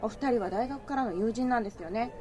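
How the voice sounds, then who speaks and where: Quick-talking and fairly high, an actress as a polite, curious young female coworker in a radio drama, with music behind her. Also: mellow, close to an everyday voice. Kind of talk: Japanese radio drama acting.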